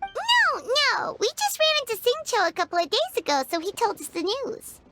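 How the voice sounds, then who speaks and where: A woman voicing a tiny, excitable, childlike fairy companion in a video game, chatty and emphatic, with a squeaky voice. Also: moderate pace, soft background music, full character voice. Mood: explaining. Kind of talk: animated video game voice acting.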